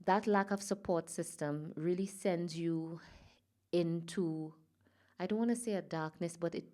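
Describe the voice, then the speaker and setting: A woman from Trinidad and Tobago speaks as a guest in an interview. Her voice is low and mellow. She talks softly and reflectively, pausing often and filling gaps with hesitations. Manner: soft, reflective, halting with fillers. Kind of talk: personal interview.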